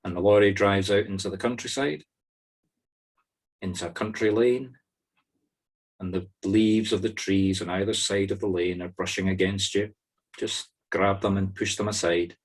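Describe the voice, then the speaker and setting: A Scottish man with a low voice leads a guided meditation. He gives short, soothing instructions and leaves pauses between them. The sound is that of a video call. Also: fairly even intonation. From Scotland. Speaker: spiritual medium leading a meditation group. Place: online video-call circle.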